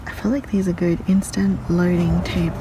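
A woman's voice: on the low side, little pitch movement, normal speed. A woman chatting casually for a vlog as she picks through finds, murmuring to herself and reading labels aloud. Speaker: reseller vlogger. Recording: loud handling and background noise.

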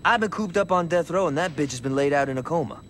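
A man's voice: on the high side, fast talker, fairly bright tone, a little hiss or hum, lightly acted. A man voicing a brash, trigger-happy, wisecracking gangster sidekick in a video game, using sardonic, profane street slang. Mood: casual, cocky. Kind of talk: video game cutscene acting.

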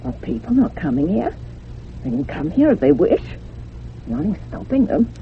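Housekeeper role: rustic widow, evasive yet respectful, animated intonation, tinny narrow-band radio drama sound. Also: female voice, mid-range voice, normal speed, vocal fry. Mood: guarded, evasive. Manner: plain rustic phrasing, evasive. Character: guarded village housekeeper, deferential, evasive widow. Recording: noisy, phone-like old radio sound.

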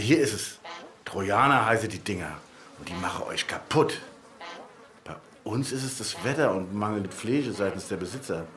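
An old rusty machine in a radio play. It grumbles and mutters in a low male voice, dry and resigned, rambling on at its own pace. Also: background noise.

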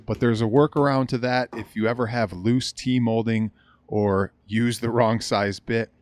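A man's voice: medium pitch, fairly even intonation, unhurried, no room sound. A middle-aged American man narrating a DIY build as a hobbyist, in a plain, matter-of-fact explanatory voice-over. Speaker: hobbyist video host.

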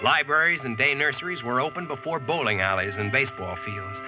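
An actor with an American accent playing a confident, wry Yankee boss and storyteller in a 1940s radio drama, plain-spoken. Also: male voice, medium pitch, fairly quick, natural voice. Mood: wry, reflective. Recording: muffled vintage radio, music underneath.

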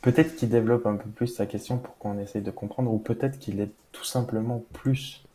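A man's voice: lowish voice, steady tone, normal speed, warm tone, slight background noise. A French-speaking man patiently walking through a crypto wallet demo on a video call, often saying "voilà". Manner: patient, explanatory, with frequent "voilà". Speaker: crypto educator demonstrating a wallet. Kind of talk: screen-share walkthrough in a live interview. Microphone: webcam video call.

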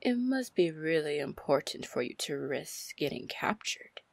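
A seductive female pirate lord, acted by a woman, speaks slowly and rather low, close and dry. She is commanding yet teasing, slipping toward breathy near-whispers in an ASMR fantasy roleplay. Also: very animated, no echo, exaggerated voice. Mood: flirtatious, amused. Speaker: roleplay audio performer.

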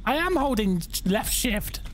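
A young man with a croaky voice, chatting and joking through a horror game as a gaming YouTuber. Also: high-pitched, very animated, measured pace, slight background noise. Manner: chatty, jokey, reacting aloud. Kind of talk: casual let's-play commentary.

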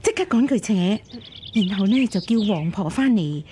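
A woman's voice: medium pitch, animated, normal speed, dark tone, natural voice. A woman acting in a radio drama as a scheming, spiteful concubine, insinuating and scornful. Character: scheming spiteful concubine urging a harsh plot. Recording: clear background noise with birdsong.

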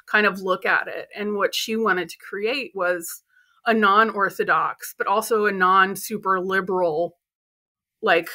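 Bright-voiced woman chatting on a video call. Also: mid-range voice, unhurried. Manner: animated storytelling, acts out quoted voices. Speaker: podcast co-host. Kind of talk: casual chat. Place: home room with bookshelves and poster. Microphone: earbud headset on video call.

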